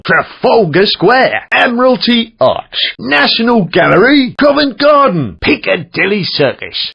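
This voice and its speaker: Casting: arch, sardonic British game instructor, lively swooping delivery, gravelly edge. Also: male voice, on the high side, exaggerated voice. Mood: announcing. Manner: wry, arch, mock-polite. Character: dry, sardonic British male driving instructor. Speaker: stunt driving instructor character in a video game. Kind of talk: video game character voice acting. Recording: dull, compressed game audio.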